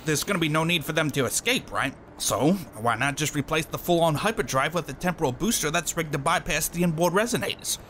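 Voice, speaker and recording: Bright, cocky rambling engineer played by a man. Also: fairly high pitch, brisk, hardly any pauses, a little hiss or hum. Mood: excited, breezy. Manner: chatty, slangy, breezy. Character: cocky, rambling, eccentric male starship engineer. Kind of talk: audio drama acting.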